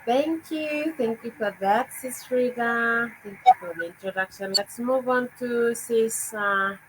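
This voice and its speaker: A woman's voice: a little high, a little slow. A woman from Papua New Guinea hosting a live online chat over a video call, with hesitant fillers. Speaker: host. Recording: noisy video call audio.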